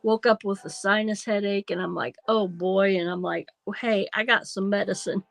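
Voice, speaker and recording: A woman hosting a craft livestream, nasal from a sinus cold, thinking aloud slowly and hesitantly with many ums. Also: mid-range voice, fairly even intonation, gravelly, soft background music. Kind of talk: casual craft livestream chat.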